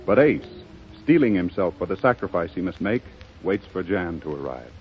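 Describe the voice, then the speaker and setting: Low-toned man narrating old radio drama. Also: very animated, normal speed. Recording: muffled lo-fi 1930s radio broadcast. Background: faint music.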